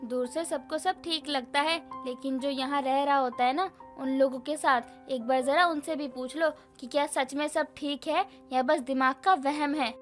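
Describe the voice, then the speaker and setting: A very high-voiced, sharp-tongued middle-aged mother-in-law played by a woman from a cartoon moral tale. She nags and complains pointedly, over clearly audible music. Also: steady tone, normal speed, few pauses, close to an everyday voice. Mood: grumbling. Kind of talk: animated moral story acting.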